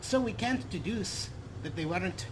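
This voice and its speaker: A man's voice: high voice, lively intonation, normal speed. A man reading a game journal aloud as an earnest, fussy fantasy scholar, formal and emphatic. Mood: intrigued, pondering. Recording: clear background noise under voice.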